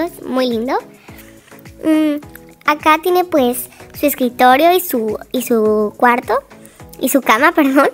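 High-voiced woman gushing over a game house. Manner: chatty, gushing, many "como" fillers. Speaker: game house tour narrator. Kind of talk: casual voice-over narration of a game house tour.

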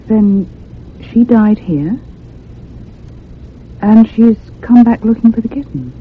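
A woman's voice: a little high, animated, takes it slowly. An actress playing an earnest, insistent young woman probing a ghostly mystery in a radio thriller. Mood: intent, anxious. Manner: earnest, pressing, questioning. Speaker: actor in a radio drama. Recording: muffled phone-like radio recording with hiss.

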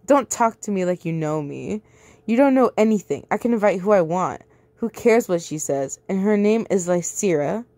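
A woman voicing a spiteful, lying young woman at a party, shrill and accusing. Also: animated, moderate pace, mellow, no room sound, close to an everyday voice. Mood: hostile.

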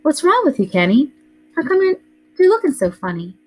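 Woman doing a shrill, scolding little girl. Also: sing-song, fairly quick, soft background music.

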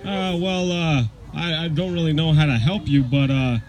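A well-meaning but awkward chimp puppet, voiced by a man, talks in a slightly high male voice. He hesitates and fumbles through his sentences. The sound is a live outdoor recording with clear background noise. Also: steady tone, a little mellow, lightly acted, poor audio. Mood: gently reassuring. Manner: hesitant, halting, full of uhs. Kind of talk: live puppet show dialogue. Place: outdoors on a lawn, behind puppet stage.

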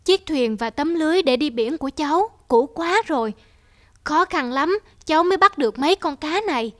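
A woman's voice: sits high, expressive, normal speed. A woman voicing a poor, humble young fisherman in a cartoon folk tale, polite and earnest.

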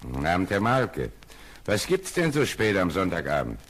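A brisk police sergeant in an old radio play. His voice sits low and moves widely as he issues clipped orders with authority, over clear background noise. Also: huge pitch swings. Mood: businesslike. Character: brisk, commanding male harbour police sergeant. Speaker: actor. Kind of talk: German radio play acting.

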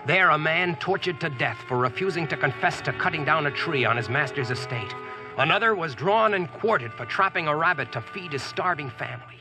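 A man's voice: a little high, expressive, a little fast, natural voice, rough recording. An actor playing a practical modern American man among knights, narrating and speaking plainly in 1940s radio style. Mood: reflective narration. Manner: plain-spoken, instructive. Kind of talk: 1940s radio acting and first-person narration. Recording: vintage radio drama recording with music.

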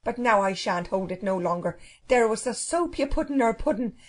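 A woman's voice: a little high, lively intonation, normal speed. A woman voicing a fierce, indignant household cook in a children's storybook, scolding in blustering, colloquial speech. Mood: furious, scolding.

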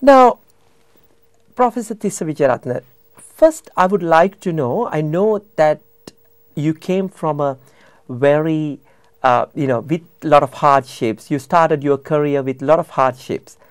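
A man's voice: a little high, expressive, smooth, dry recording. Sri man hosting a TV interview. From Sri Lanka. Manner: halting, with fillers. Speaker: TV host. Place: TV studio. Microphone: lapel.

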